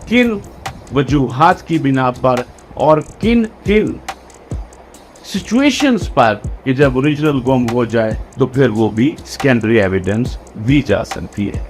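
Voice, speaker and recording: A man with a Pakistani accent giving an explanatory law lecture, didactically repeating and rephrasing points and mixing in English legal terms. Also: medium pitch.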